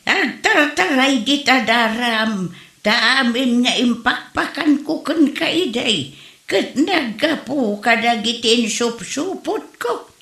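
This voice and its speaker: A woman playing a scolding, teasing woman in an Ilocano comedy audio drama, nagging in a drawn-out way with an Ilocano accent. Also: a little high, lively intonation, a bit bright, heavily acted. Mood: mocking. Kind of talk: comic audio drama acting. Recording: echoey room.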